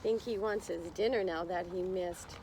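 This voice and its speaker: A woman's voice: lowish voice, fairly even intonation, normal speed, background noise. A woman reading a picture book aloud to young children, expressively, with encouraging, chatty explanations along the way. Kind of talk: slow children's read-aloud with chatty asides to listeners.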